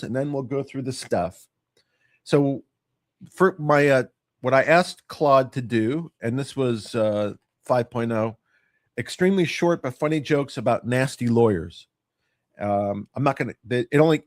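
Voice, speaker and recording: A man's voice: mid-range voice, darkish tone, dry recording, studio quality. A man with a stuffy, nasal voice from allergies, hosting a casual solo legal news commentary and reading out jokes in a rambling, self-correcting way.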